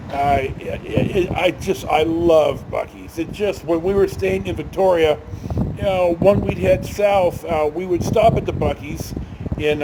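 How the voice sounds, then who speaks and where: An older man telling a casual anecdote in a folksy, hesitant, rambling way with some mild swearing. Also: a little mellow. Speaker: storytelling vlogger. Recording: noisy, with vehicle rumble behind.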